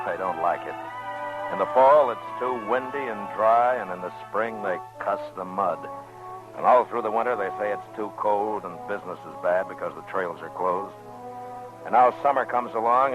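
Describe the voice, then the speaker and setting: Casting: man to play low-pitched American western marshal, dry and tired, for old-time radio drama; muffled archive sound. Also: close to an everyday voice. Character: weary, wry frontier US marshal in a western. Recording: dull old radio recording, some background noise.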